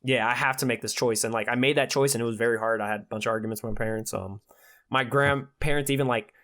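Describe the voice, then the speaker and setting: A man's voice: medium pitch, steady tone, fast, fairly bright tone. A twenty-year-old man chatting casually as a podcast guest, earnest and full of slang. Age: 20 years old.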